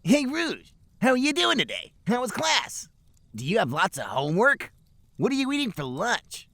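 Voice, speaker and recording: A man's voice: very high voice, wildly swooping pitch, normal speed, gravelly, bright, forceful tone, no echo, pitched above the performer's own voice, heavily acted. A man voicing an earnest, eager teenage cartoon hedgehog in a comic fan fiction reading. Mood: eager, chatty.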